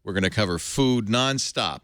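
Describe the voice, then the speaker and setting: A man's voice: on the low side, normal speed, dry recording, clean studio sound. A middle-aged man hosting a casual tech talk show, joking and laughing often. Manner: jokey, laughs often, animated delivery. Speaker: host.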